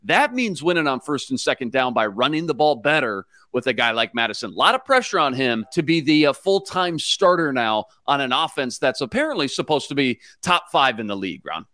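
A man co-hosting a sports podcast, giving brisk, stat-heavy analysis in a prepared way. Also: medium pitch, sing-song, sharp tone, dry recording, studio quality. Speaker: producer and co-host.

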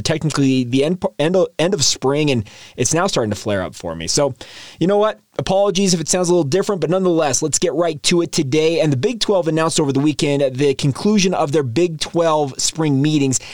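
Energetic man hosting a sports podcast. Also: on the high side, dry recording, studio quality. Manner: energetic, conversational, chatty asides. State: stuffy from allergies. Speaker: podcast host.

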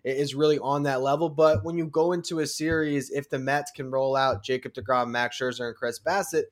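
A man talks baseball as a guest analyst on a sports show. His pitch is average for a man, and his delivery is conversational. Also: steady tone, a little fast.

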